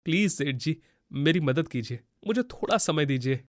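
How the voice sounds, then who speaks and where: A man plays a poor, troubled farmer from a cartoon tale. His voice is fairly high and dryly recorded, with an earnest, pleading manner and a gentle fatherly temper. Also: lively intonation, moderate pace, dry recording, clean studio sound, natural voice.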